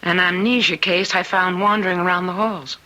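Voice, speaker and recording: A woman's voice: fairly low pitch, steady tone, fairly quick, bright, forceful tone, rough recording. An actress playing a composed woman hospital doctor in a radio drama, with a dry, assured manner. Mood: businesslike. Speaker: actor.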